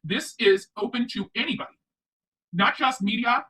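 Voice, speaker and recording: Bright-voiced, emphatic woman commentator, off-mic. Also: a little high, normal speed, distant mic. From United States. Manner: emphatic, repeats points for stress. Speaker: online commentary show host. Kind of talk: opinionated livestream commentary.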